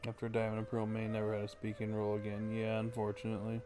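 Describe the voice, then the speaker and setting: A man's voice: fairly low pitch, completely flat delivery, fast. A man giving casual commentary while livestreaming a game. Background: game music.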